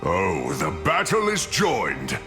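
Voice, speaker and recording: An actor voicing a boisterous, proud Norse thunder god with grand archaic phrasing for a video game. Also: male voice, low-pitched, wildly swooping pitch, slow pace, exaggerated voice. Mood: hearty, boisterous. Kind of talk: video game hero voice lines. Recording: long reverb, music behind.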